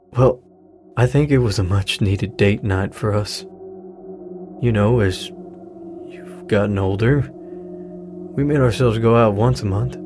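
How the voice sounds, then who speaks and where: A man playing a grieving, apologetic middle-aged widowed father with a halting delivery in a naturalistic audio drama. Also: fairly low pitch, a little mellow. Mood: reluctant, hesitant, then wistful, subdued. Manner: halting, hesitant, sighing delivery. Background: music.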